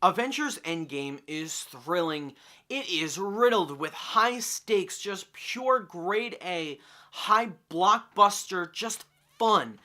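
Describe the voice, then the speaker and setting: Bright-voiced, excitable young man. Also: sits high. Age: early 20s. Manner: excitable, emphatic fan talk with casual swearing. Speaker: film reviewer. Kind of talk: enthusiastic video movie review to camera.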